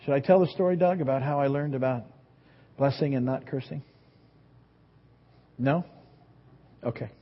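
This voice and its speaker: A man's voice: medium pitch, normal speed, pauses often. A man in his fifties preaching a sermon, telling a story about himself with self-deprecating humor. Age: 50s. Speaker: pastor. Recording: dull sound in a reverberant hall.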